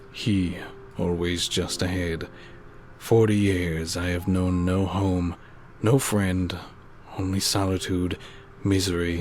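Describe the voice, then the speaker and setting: A man's voice: low-pitched, a little mellow, slight background noise. A man playing a dying, obsessed old scientist in a horror audio drama, grave, weary and theatrical.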